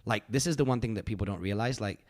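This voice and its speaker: Low-voiced man, rambling earnestly. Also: fast, darkish tone. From United Kingdom. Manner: rambling, filler-heavy, earnest and grateful. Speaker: podcast host. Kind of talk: casual reflective chat.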